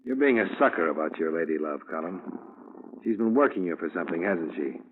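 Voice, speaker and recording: An actor playing a wry, hard-boiled insurance investigator in an old-time radio detective drama, with clipped, slangy, sardonic delivery. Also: male voice, low-pitched, lively intonation, a little fast. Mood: cool, probing. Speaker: lead actor and narrator of a detective series. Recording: tinny, band-limited old radio recording with noise.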